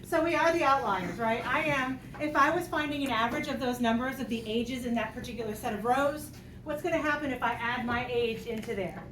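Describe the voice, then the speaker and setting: A woman's voice: fairly high pitch, a little fast. A woman teaching a math class, playful and jokey as she works through examples aloud. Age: 50s. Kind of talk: classroom lecture. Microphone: distant room mic. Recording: echoey classroom, distant mic, noisy.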